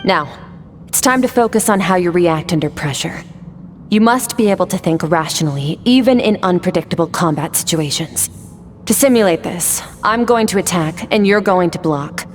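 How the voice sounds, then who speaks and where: A woman playing a stoic, rational warrior mentor in a video game, composed, measured and detached. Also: fairly low pitch, expressive, big-room sound, faint music behind, close to an everyday voice. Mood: composed, instructive. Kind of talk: dramatic video game voice acting.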